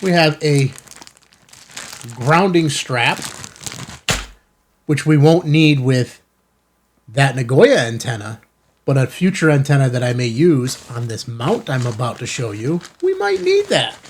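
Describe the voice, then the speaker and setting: A man's voice: mid-range voice, big pitch swings, a little slow, long pauses, a little hiss or hum. A man hosting a casual unboxing video, talking to viewers in an enthusiastic, folksy way with self-kidding asides. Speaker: host of a ham radio channel. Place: studio.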